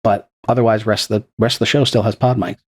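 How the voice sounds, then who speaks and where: Casual male podcaster, lowish voice, easygoing explainer, home dynamic mic. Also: fast talker. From Texas. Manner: relaxed, chatty, explains with frequent fillers. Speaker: podcast producer and game master. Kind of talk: casual interview chat. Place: untreated home room with bookshelves. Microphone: dynamic podcast microphone.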